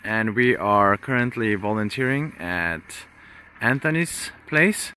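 A man's voice: lowish voice, slow pace. A man in his thirties chatting casually as he vlogs outdoors on a hillside farm, phone held at arm's length, with fillers and restarts. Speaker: vlogger. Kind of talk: casual travel vlog narration.

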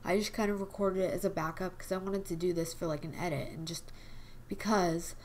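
Hoarse young woman losing her voice. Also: lowish voice, fairly even intonation, a little fast, slight background noise. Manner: quiet, rambling, many fillers. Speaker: gaming channel host. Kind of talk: casual chat over gameplay. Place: home desk at night.

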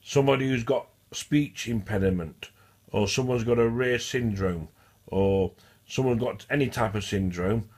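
A man's voice: medium pitch, moderate pace, darkish tone. A man with a stutter, talking in a rambling, repetitive vlog monologue. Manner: repetitive, restarts and repeats phrases. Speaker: vlogger. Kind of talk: casual rambling monologue to camera.

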